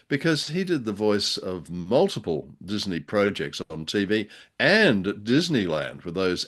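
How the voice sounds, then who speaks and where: An older man co-hosting a podcast in casual chat, with a droll, hesitant and wordy way of talking. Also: lowish voice. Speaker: podcast co-host. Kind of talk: casual chat about old radio drama.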